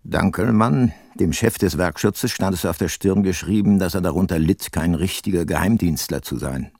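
Deep, dry older male detective narrator. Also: moderate pace, creaky, darkish tone, no room sound. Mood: dry, ironic. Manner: dry, ironic. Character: older, dryly ironic male detective narrating his case. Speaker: first-person narrator. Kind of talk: scripted radio play narration.

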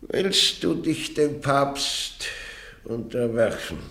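A man's voice: medium pitch, lively intonation, very slow, vocal fry, bright, forceful tone, roomy sound, full character voice. An actor playing a solemn church judge who admonishes and threatens an accused woman in a German radio play. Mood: stern. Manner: solemn, admonishing, grows heated. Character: stern churchly prosecutor interrogating a heretic. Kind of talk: 1950s-60s German radio drama.

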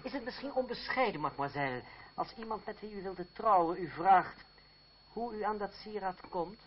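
Radio play hero: higher-voiced, formal, bitterly ironic jilted suitor, muffled old recording. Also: male voice, animated, moderate pace, a little hiss or hum. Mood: sarcastic. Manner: formal, sardonic. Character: proud, jilted suitor, bitterly sarcastic and self-controlled. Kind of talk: Dutch radio play acting.

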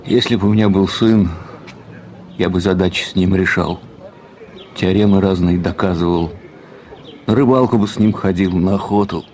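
An actor in an audio drama playing a weary, melancholy ageing academic husband, musing with resigned affection. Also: male voice, low-pitched, fairly even intonation, moderate pace, pauses often, darkish tone, a little hiss or hum, natural voice. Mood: wistful.

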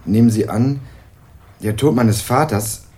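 A man's voice: fairly low pitch, measured pace, a little mellow, lightly acted. A young actor playing a guarded male student who answers a police detective in a radio crime drama, curt and wary. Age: young adult.

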